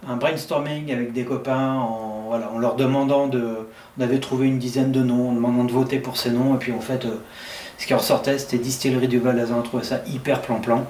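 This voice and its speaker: A middle-aged man from France, a farmer telling a relaxed, anecdotal story in casual conversation. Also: medium pitch, a little fast. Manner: easygoing storyteller, frequent fillers. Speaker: interviewee, distillery farmer. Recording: roomy and distant, faint background noise.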